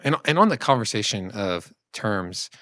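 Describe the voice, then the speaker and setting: A man's voice: fairly low pitch, big pitch swings, moderate pace, sharp tone, dry recording. Man co-hosting a discussion, dry close sound. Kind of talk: conversational discussion of a book.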